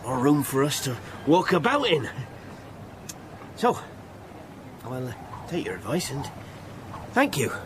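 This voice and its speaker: An actor playing a weary, proud, homeless countryman in a radio drama, halting and plain-spoken. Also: mid-range voice, sing-song. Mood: resigned, grateful. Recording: noisy outdoor street ambience.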